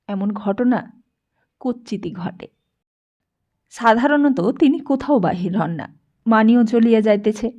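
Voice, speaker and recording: Slow, high-voiced woman narrating a novel. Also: warm tone, muffled recording. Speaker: audiobook narrator. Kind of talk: scripted audiobook narration of a literary Bengali novel.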